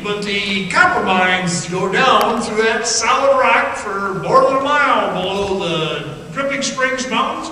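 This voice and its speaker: Echoey distant hall, man playing grizzled folksy miner, bright high voice, talkative, radio play storytelling. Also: full character voice. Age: 30s playing older-looking. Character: grizzled bearded Arizona copper-mining town man, talkative. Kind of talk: radio drama monologue. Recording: echoey hall from far off, faint noise.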